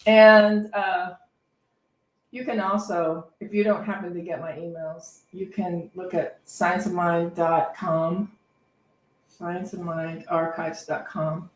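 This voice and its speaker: A woman's voice: fairly low pitch, level tone, slow. A woman co-hosting a church service, giving casual announcements in a conversational, informal way. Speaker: service co-host, minister. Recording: roomy, distant microphone.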